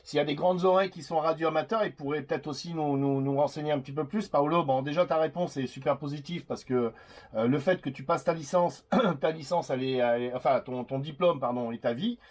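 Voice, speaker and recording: A man's voice: fairly high pitch, fairly quick. A man chatting casually as a CB radio operator, hesitant and rambling as he goes. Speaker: CB radio operator making videos.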